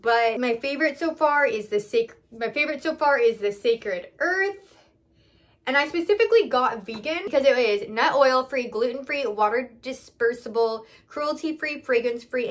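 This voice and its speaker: Young female vlogger, voice a bit high, casual haul chat, candid, thinks out loud. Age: young adult. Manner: candid, conversational, thinking aloud. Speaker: salon owner vlogger. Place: small indoor room.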